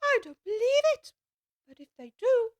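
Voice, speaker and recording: A woman's voice: extremely high pitch, very animated, moderate pace, no echo. An actress playing an indignant, distressed mother pigeon in an audiobook, fretful and accusing in manner. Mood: outraged. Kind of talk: full-cast audiobook acting.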